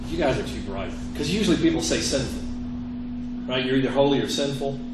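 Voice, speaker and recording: A man's voice: mid-range voice, animated, a little fast, over music. A man who is a native Italian speaker, teaching a religion class in an earnest, emphatic way and often putting questions to his students. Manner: earnest, emphatic, quizzing listeners with rhetorical questions. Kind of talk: interactive religious lecture. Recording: roomy, echoey classroom with clear background noise.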